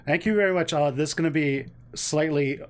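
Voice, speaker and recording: A man's voice: on the high side, a little fast, a little hiss or hum. A man in his thirties, a guest on a podcast, chatty and self-deprecating, laughing easily. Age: 30s. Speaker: guest, history content creator. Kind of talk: casual podcast interview.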